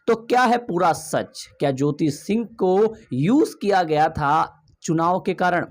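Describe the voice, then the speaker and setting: A man's voice: high-pitched, animated, unhurried. A man giving emphatic gossip commentary, asking rhetorical questions and addressing viewers directly. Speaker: video commentator.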